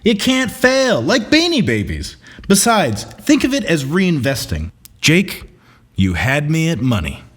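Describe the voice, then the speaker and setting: A man's voice: fairly high pitch, huge pitch swings, measured pace, exaggerated voice. A man playing a breezy, unscrupulous schemer in a comedy sketch, glib and casual. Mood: glib, smug. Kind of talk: comedy audio sketch acting.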